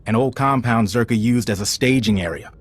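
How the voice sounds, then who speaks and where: An actor playing a frank intelligence agent giving an informal, wry briefing in a video game. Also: male voice, medium pitch, brisk, lightly acted. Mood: urgent, dry.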